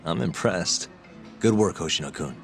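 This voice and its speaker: Stubborn, cool male detective, game drama, very low voice, wry and terse, clear music underneath. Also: expressive, normal speed. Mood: irritated, incredulous. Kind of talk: English video game dub acting.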